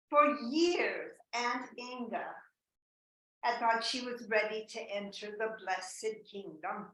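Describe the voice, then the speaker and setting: A woman telling a personal story to a group over a video call, in a deliberate, wry manner. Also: a little high, a bit bright. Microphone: computer mic on a video call. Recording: roomy and distant from the mic.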